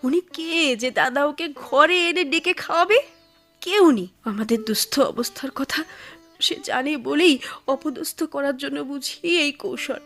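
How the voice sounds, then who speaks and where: Young village wife, high voice, proud n aggrieved, radio play w faint music. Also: female voice, animated, a little slow, a bit bright, heavily acted. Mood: aggrieved, anxious. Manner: aggrieved, anxious, dramatic. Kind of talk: Bengali radio drama acting. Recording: music behind the voice.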